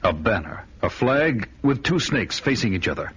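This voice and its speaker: An actor playing a gruff, vengeful barbarian hero who narrates his own tale in dramatic radio-play style. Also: lowish voice, sing-song, moderate pace, a bit bright, lo-fi sound, heavily acted. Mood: commanding. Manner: grave, dramatic first-person narration.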